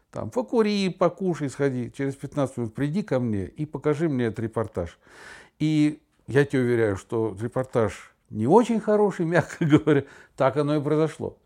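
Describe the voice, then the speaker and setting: A man's voice: on the high side, animated, normal speed, a little mellow. A middle-aged man in a casual conversation, telling anecdotes in a blunt, opinionated way.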